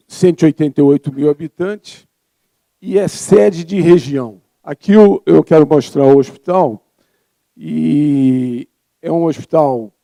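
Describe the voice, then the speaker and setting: Casting: Brazilian man, 70s, slightly high-pitched for his sex, gravelly, informal conference speaker. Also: warm tone. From Rio de Janeiro, Brazil. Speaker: physician speaking as a congress presenter. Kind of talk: conference talk, informal and reflective.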